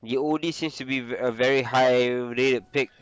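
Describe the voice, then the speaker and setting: A young man with the flu, casually commentating a video game on his own, with a lot of filler pauses. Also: medium pitch, fairly even intonation, smooth, no room sound, muffled recording. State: sick with flu. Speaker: esports caster.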